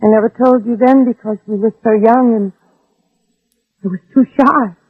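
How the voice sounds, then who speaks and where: Brave mother in a vintage radio play, earnest and coaxing; high-set voice, thin phone-like old broadcast sound. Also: normal speed, dry recording. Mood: tender, earnest. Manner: earnest, gently persuasive. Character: brave, protective mother pleading with an armed man. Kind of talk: 1960s radio drama acting. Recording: old band-limited radio recording, husky, dry.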